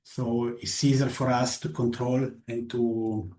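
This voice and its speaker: A man, a goalie coach answering interview questions haltingly with many fillers. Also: mid-range voice, flat delivery, mellow. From Switzerland. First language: Italian. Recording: roomy echo.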